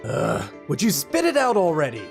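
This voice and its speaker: A man's voice: fairly high pitch, wildly swooping pitch, moderate pace, vocal fry, music in the background, full character voice. An actor playing a proud, irritable young male god-king in an audio drama, blunt and exasperated. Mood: impatient command.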